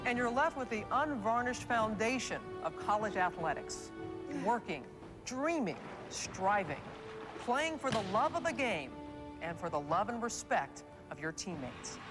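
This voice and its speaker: A woman reading scripted documentary-style narration in a measured, stately, reflective way. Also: a little high, big pitch swings. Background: music.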